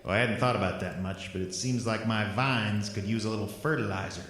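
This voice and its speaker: Hobo miner villain, played by a man: folksy, threatening, low voice, long cave reverb. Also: fairly quick, fairly bright tone, natural voice. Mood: sly threat. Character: menacing, folksy hobo miner villain, gruff old-timer. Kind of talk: audio drama acting. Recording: echoey cave-like reverb, faint noise.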